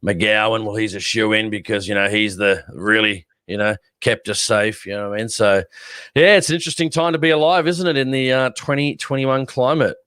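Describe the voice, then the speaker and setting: A man's voice: fairly low pitch, fairly quick, a bit bright, clear, resonant tone, no echo, studio quality. An Australian man co-hosting a casual live stream. He talks bluntly and sarcastically and swears freely. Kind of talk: casual opinionated chat.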